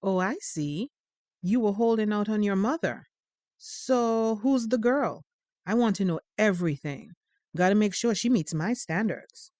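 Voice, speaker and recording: A woman's voice: mid-range voice, unhurried, a little mellow, no echo, lightly acted. Firm, protective mother played by a woman, dry sound. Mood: teasing, probing. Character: firm, protective, teasing mother of a teenage boy. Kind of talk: naturalistic audio drama dialogue.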